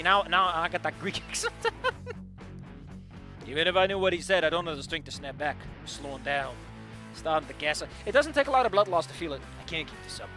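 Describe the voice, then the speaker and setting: A man reads fan fiction aloud live, tossing in casual, swearing asides and jokes about the writing. His voice sits a little high and sounds very bright and sharp. Faint guitar music plays underneath. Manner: casual, joking, swears often. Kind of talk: reading a story aloud live with commentary.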